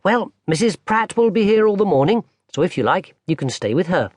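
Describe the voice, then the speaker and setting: A man voicing a kindly, indulgent, gently amused grandmother in a children's audiobook. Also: sits high, very animated, brisk, no room sound, pitched above the performer's own voice. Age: older.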